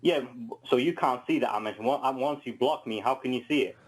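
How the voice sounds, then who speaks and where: A man from Iraq, a podcaster on a talk radio show, combative and profane and given to yelling insults. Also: mid-range voice, brisk, breathy edge, low-quality recording. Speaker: podcaster on a call-in. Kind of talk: heated talk radio guest.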